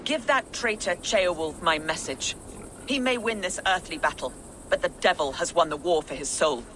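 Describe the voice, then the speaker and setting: A woman's voice: fairly low pitch, lively intonation, normal speed, a bit bright. Captive queen in a game, bitter n proud, very emotional, voice swings a lot, noisy background. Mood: scornful. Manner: emotional, scornful, declamatory. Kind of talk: video game dramatic acting.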